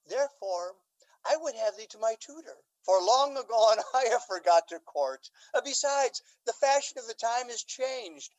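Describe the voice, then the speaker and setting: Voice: medium pitch, natural voice, poor audio. An actor playing an aging, scheming, courtly Duke. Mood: feigned coyness. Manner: theatrical, courtly, emphatic Shakespearean delivery. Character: aging, scheming Duke, aggrieved father feigning lovesickness. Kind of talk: Shakespearean radio drama acting.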